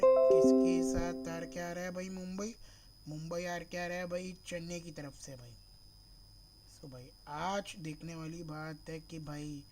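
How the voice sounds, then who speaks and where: A man from Mumbai streaming a cricket video game, slightly hoarse from a sore throat, thinking aloud and calling his viewers "bro" in nearly every phrase. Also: on the high side, darkish tone, slight background noise. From Mumbai, India. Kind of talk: live gameplay stream chat.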